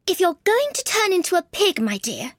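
A man's voice: very high-pitched, wildly swooping pitch, normal speed, a bit bright, no room sound, studio quality, pitched above the performer's own voice, full character voice. A man voicing a prim, earnest little girl in a storybook reading, with polite, carefully proper speech and a scolding streak. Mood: stern scolding.

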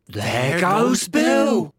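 A narrator voicing a hearty, excitable male animal workmate in a storybook, drawing out rustic exclamations. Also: medium pitch, slow, dry recording, studio quality, voice pushed up. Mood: alarmed. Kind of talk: storybook character voice.

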